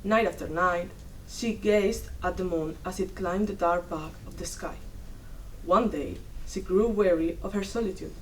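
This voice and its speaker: Young woman, lowish voice, theatrical storytelling, deliberate phrasing, recorded from a distance with some background noise. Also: a little mellow. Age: young adult. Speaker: student performer in a dramatic reading. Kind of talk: dramatic storytelling read from a script. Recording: distant mic, noisy room.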